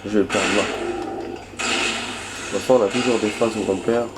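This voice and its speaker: Man, hoarse from a cold, low voice, chatty rambling gameplay commentary, noisy game audio behind. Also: fairly even intonation, measured pace. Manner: rambling, chatty, bursts of surprised swearing. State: hoarse from a cold, losing his voice. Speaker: gaming video host. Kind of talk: casual let's-play commentary. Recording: background noise and game sounds.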